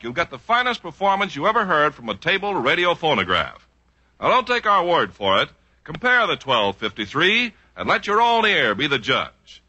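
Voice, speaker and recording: A man's voice: fairly high pitch, bright, forceful tone, slightly rough. A man announcing on 1940s radio in a punchy, theatrical manner. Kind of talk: 1940s radio narration and ad copy.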